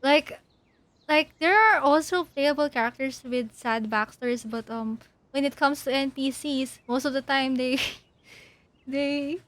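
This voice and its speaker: A woman streaming a game with casual, giggly, halting commentary that often repeats words. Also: high voice, fairly bright tone, dry recording.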